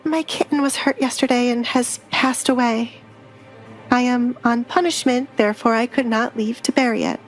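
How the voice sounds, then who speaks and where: A woman voicing a formal, restrained young noblewoman with quiet defiance in a romance audio drama. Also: on the high side, fairly even intonation, normal speed, pitched above the performer's own voice, lightly acted, poor audio. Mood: composed. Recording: background music under the voice.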